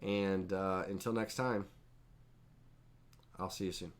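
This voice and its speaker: A man with a head cold, his voice stuffy and nasal, leads a live software class. He rambles in a loose, casual way and chats with viewers by name. His voice sits a little low. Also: fairly even intonation, takes it slowly. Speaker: host and instructor. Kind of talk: live-streamed software tutorial.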